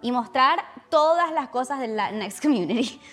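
Young woman, bubbly podcast host, soft music behind. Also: high-pitched, expressive, normal speed. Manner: bubbly, laughs often. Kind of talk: family podcast hosting.

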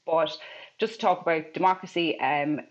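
A woman's voice: deep voice, moderate pace. Woman co-host, casual history explainer on a muffled, lo-fi mic. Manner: explanatory, lightly laughing.

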